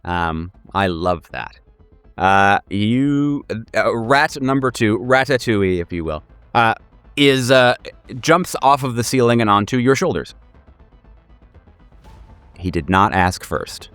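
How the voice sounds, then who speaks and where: Game master, male, lowish smooth voice, improvising narration with hesitations and dry playfulness, close dry sound. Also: expressive, fairly bright tone, no room sound, faint music behind. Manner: hesitant, halting, searching for words; wry, playful, slangy. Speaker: game master of a horror tabletop podcast.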